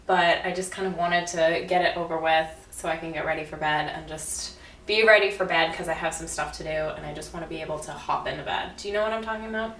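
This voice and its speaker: A woman's voice: low-pitched, fairly even intonation, fast, fairly bright tone, slight background noise. A young woman, a bit stuffed up and congested, chatting casually to camera in a self-deprecating, laughing way as a beauty vlogger at home. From Canada. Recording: roomy, slightly distant audio.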